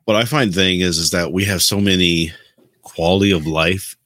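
Man, deep voice, podcast panelist, casual gaming chat, very little room sound. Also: fairly even intonation, moderate pace, fairly bright tone, dry recording. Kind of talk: casual chat about video games.

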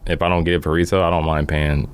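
A man in a casual, slangy conversation, speaking informally in halting phrases that often repeat. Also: a bass voice, little pitch movement, smooth. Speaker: podcast guest. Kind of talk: casual chat.

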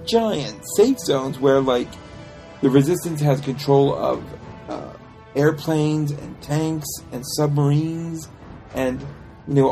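Man, podcast co-host, creaky voice, casual review talk, animated halting delivery, faint music. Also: a little high. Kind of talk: casual chat.

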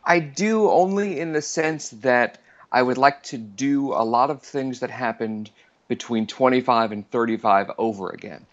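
A man's voice: mid-range voice, moderate pace, lo-fi sound. A man in his forties, a returning podcast guest, chatting casually in a rambling, self-deprecating way. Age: 40s. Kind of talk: casual chat.

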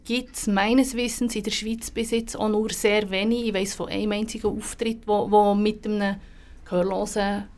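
A woman interpreter, speaking casually in quick, eager bursts with restarts and repeated words. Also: mid-range voice, level tone, darkish tone. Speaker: sign language interpreter. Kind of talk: casual chat.

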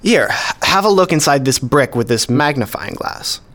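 A man's voice: mid-range voice, animated, moderate pace, fairly bright tone, close to an everyday voice. An actor playing a modest, precise male hobbyist collector, explaining things methodically in a radio play. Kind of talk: scripted dialogue, explaining.

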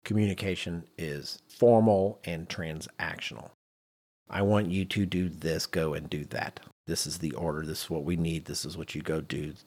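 A man's voice: low-pitched, fairly even intonation, normal speed, a little mellow, breathy edge. A man co-hosting a podcast, talking conversationally and explaining with emphasis and rhetorical repetition. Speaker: podcast co-host. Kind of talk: conversational podcast discussion on leadership.

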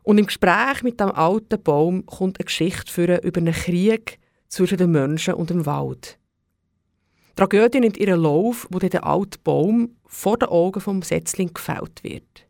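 Radio host, gravelly voice. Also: medium pitch, moderate pace, a little mellow, dry recording, clean studio sound. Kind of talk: radio program presentation.

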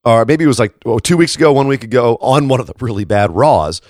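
A man hosts a casual talk podcast in a froggy, slightly hoarse voice. He makes his points emphatically and often checks in with tag questions. The sound is dry, with little room around him. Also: medium pitch, animated, quick pace, a little mellow, no echo. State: tired froggy morning voice after a late shift. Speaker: podcast host. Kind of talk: casual wrestling commentary chat.

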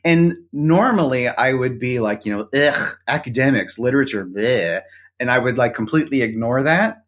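A man's voice: medium pitch, lively intonation, normal speed, fairly bright tone. Thoughtful man podcast guest. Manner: thoughtful, hesitant with fillers. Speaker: podcast guest, co-host of another show. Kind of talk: conversational interview.

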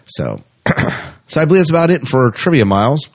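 A man's voice: a little high, huge pitch swings, a little fast. A man co-hosting a podcast, talking in an informal, rambling, conversational way. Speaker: podcast co-host. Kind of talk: casual podcast chat.

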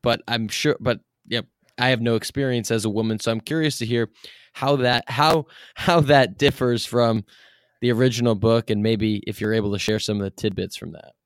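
A man's voice: medium pitch, fairly even intonation, normal speed, clean tone, no echo, clean studio sound. A man hosting an interview in a casual, informal, chatty way, peppering his talk with "like". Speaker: podcast host, interviewer.

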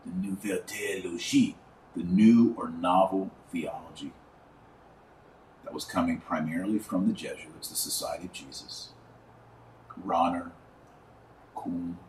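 A middle-aged man with a low-pitched voice hosts a religious commentary podcast. He talks informally but emphatically, stretching key words and leaving pauses. The sound is roomy, as if he sits some way from the microphone. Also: darkish tone. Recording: roomy echo, distant mic, faint noise.

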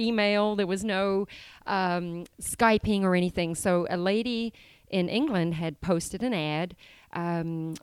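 A New Zealand woman in her fifties, a podcast guest chatting casually about her life, hesitant with many pauses and easy laughs. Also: on the low side, smooth, no echo. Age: 50s. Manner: hesitant, lots of ums and restarts, laughs easily. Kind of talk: casual interview chat.

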